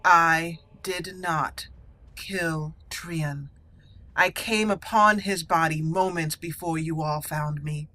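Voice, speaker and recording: A woman's voice: low voice, slow, pushed and bright, exaggerated voice. A woman voicing a proud, wronged elven noblewoman in a game roleplay, dramatic and formal in delivery. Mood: defiant, wounded. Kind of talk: roleplay acting in a game let's play.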